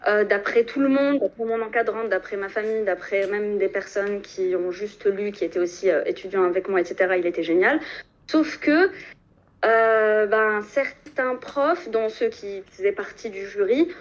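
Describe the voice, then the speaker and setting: A woman's voice: mid-range voice, moderate pace. A woman in her twenties from Algeria, calling into a live stream and talking with many fillers and restarts. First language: French. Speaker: caller. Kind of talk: phone call into a live stream. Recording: muffled phone call.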